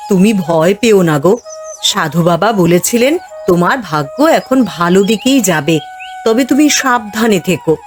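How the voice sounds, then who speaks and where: A woman voicing a caring, cautious village wife in a cartoon fairy tale, gently giving advice. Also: mid-range voice, expressive, a little slow, faint music behind, natural voice. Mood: cautioning.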